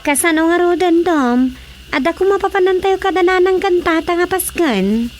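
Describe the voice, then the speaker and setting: A woman's voice: extremely high pitch, animated, moderate pace, over loud music. An actress with a Filipino accent playing a pleading young woman in a radio comedy drama.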